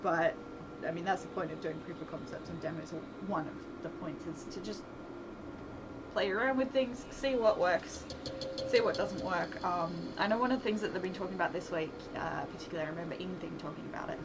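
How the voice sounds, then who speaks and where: A woman streamer and game developer chatting casually on a livestream, thinking aloud in a rambling way with soft laughs. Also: fairly low pitch, a little fast. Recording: loud background noise, vehicle sounds.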